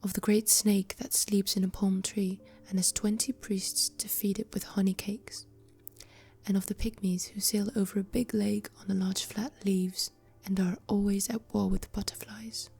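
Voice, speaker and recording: A woman's voice: mid-range voice, breathy edge. Whispering woman reading a fairy tale. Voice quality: whispering throughout. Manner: slow, even storytelling. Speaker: storyteller. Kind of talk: whispered bedtime story reading.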